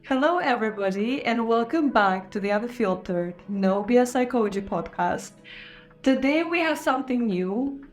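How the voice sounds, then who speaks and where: A young woman from Israel with a foreign-accented English, hosting her podcast and telling her life story in a candid, reflective way with soft laughs. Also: fairly high pitch, expressive, moderate pace, faint music behind. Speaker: podcast host. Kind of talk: casual personal monologue to camera.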